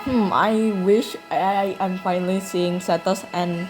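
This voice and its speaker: A woman's voice: medium pitch, steady tone, measured pace. A woman giving casual, reactive commentary as she plays a video game. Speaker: gamer, streamer. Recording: loud game music behind. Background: video game music.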